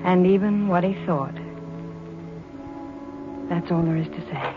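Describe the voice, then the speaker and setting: A tinny, narrow vintage radio recording with music swelling loudly. An actress plays a young widowed mother-to-be with a lowish voice, unhurried and solemn, full of tenderness, in 1940s drama style. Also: close to an everyday voice. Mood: tender, solemn. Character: tender, grieving young expectant mother, navy flyer's widow. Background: loud orchestral music.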